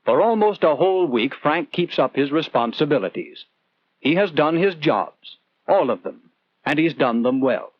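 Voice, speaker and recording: Man, 1940s instructional film narration, earnest conversational storyteller, fairly high-pitched, dry, lo-fi vintage film sound. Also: sing-song, moderate pace, dry recording. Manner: earnest, conversational, rhetorical questions to the viewer.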